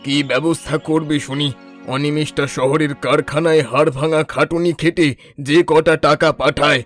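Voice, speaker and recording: Anguished middle-aged farmer father for rural cartoon played by a man, fairly high voice, faint music. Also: lightly acted. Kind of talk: animated moral story voice acting.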